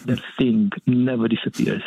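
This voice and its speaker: A man from Romania, a monk priest, speaking as a guest in an earnest, reflective way, with a soft laugh now and then. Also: mid-range voice, level tone, normal speed, vocal fry, slightly rough, low-quality recording. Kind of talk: conversational interview.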